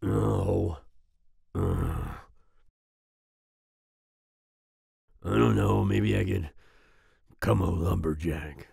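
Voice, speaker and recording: A man playing a volatile but soft-hearted werewolf boyfriend in an ASMR roleplay, growling and raspy, with sighs and groans. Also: low voice, no echo, studio quality, exaggerated voice. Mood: sulky, resigned. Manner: snarling outbursts, sighs and groans, slow brooding delivery. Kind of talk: ASMR roleplay audio drama.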